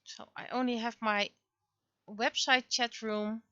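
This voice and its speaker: A woman's voice: fairly high pitch, steady tone, slow pace, bright, forceful tone, clean tone. A woman livestreamer chatting casually and muttering to herself in short fragments, on slightly dull stream audio. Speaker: livestreamer, VTuber artist. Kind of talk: casual livestream chat, muttering through setup.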